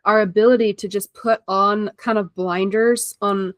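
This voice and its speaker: A woman hosting a casual conversation, chiming in with quick agreement and thoughts that trail off. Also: mid-range voice, steady tone, dry recording.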